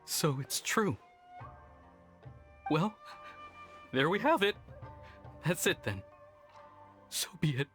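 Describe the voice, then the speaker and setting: A downcast young man speaks slowly and with a sigh. His pitch dips and rises as he resigns himself. Soft game music plays under him. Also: very animated, exaggerated voice. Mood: resigned. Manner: slow, sighing and resigned. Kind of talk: game voice acting.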